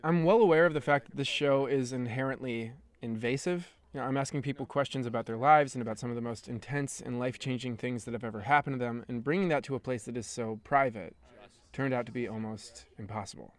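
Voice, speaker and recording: Young american guy narrating his podcast, reflective, steady. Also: mid-range voice. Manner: reflective storytelling, measured. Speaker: podcast host. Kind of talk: scripted narration.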